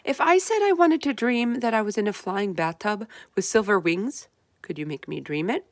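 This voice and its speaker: A woman's voice: medium pitch, lively intonation, moderate pace, no room sound, voice pushed up, lightly acted. Female reader as plucky young girl heroine, children's storybook, impassioned and emphatic, dry sound. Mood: eager. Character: determined, feisty, indignant young orphan girl. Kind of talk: children's storybook read-aloud.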